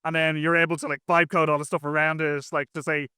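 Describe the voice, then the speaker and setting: A man's voice: on the high side, little pitch movement, quick pace, pushed and bright, clean tone, no room sound, clean studio sound. A man chatting casually as an interview guest, talking in a rambling, slangy way. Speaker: interview guest, software engineer.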